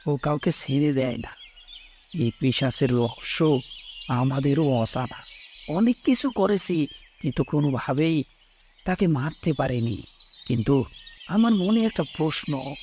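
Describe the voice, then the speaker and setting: A man playing a grave, wary old village man in an animated horror story, speaking deliberately. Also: a little high, slight background noise.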